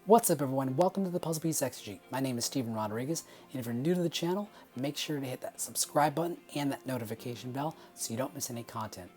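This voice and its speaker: A man's voice: medium pitch, lively intonation, fast talker, hardly any pauses, darkish tone, faint music behind. A man hosting his own teaching channel, talking casually to camera in an upbeat, emphatic way and repeating key points. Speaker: Bible teaching channel host. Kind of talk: casual explanatory talk to camera.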